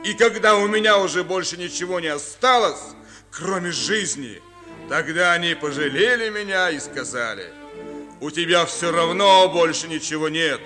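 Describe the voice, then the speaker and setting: A wronged outcast speaks with bitter sorrow in a fairly high voice. The delivery is solemn and declamatory, ringing in long reverb over music in a radio play. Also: male voice, animated, pushed and bright. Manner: declamatory, measured, mournful. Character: bitter, wronged outcast beggar condemned to hang. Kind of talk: solemn theatrical radio monologue.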